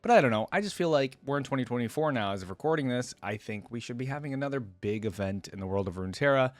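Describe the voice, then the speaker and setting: A man's voice: mid-range voice, animated, fast talker, dry recording. A young adult man giving casual, conversational reaction commentary as a video host, thinking aloud. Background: game cinematic music.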